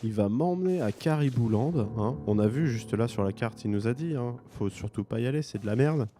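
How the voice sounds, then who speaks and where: A man's voice: mid-range voice, lively intonation, brisk, dark tone. A man with a French accent commenting a game he plays, joking and thinking aloud. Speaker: gaming streamer. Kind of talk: let's play commentary.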